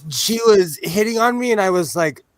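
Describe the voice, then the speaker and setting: A man in his forties chats casually on a group livestream. His voice sits fairly high and nasal, picked up close and dry, and he talks like a self-deprecating joker spinning stories. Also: lively intonation, moderate pace, clean tone, no echo. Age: 40s. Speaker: panelist on a livestream discussion.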